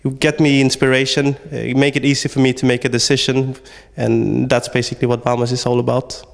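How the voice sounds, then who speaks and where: A man's voice: mid-range voice, little pitch movement, a little fast, gravelly, big-room sound. A man from Sweden, a startup founder, answering interview questions hesitantly with many fillers and restarts.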